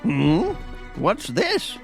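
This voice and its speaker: An actor playing a smug, gleefully destructive wizard in an audio drama, drawn-out and playful, over faint music. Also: medium pitch, wildly swooping pitch, creaky, exaggerated voice.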